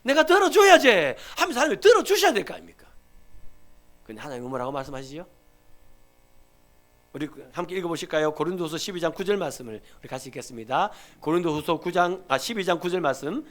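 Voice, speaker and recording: A male pastor with a Gyeongsang accent preaching a Sunday sermon, emphatic and swinging from loud to hushed. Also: on the high side, big pitch swings, fast talker, long pauses.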